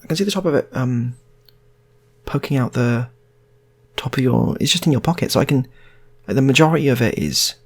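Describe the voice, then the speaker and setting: Incredulous male colleague, put on by a storyteller, pedantic, stumbling and insistent, animated with a husky edge. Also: mid-range voice, a little fast.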